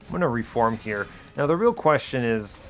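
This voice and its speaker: A man's voice: medium pitch, very animated, normal speed. A man giving casual strategy game commentary, thinking aloud and questioning his own choices as he explains his reasoning. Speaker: gaming video host. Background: music behind the voice.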